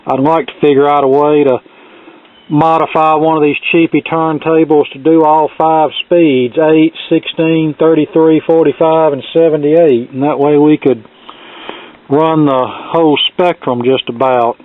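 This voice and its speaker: Phone-like thin recording, man, slightly high voice, plain-spoken, casual tutorial talk, folksy hobbyist. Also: steady tone, a little slow, a little hiss or hum. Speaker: hobbyist presenting a repair project. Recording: thin, phone-like band-limited sound.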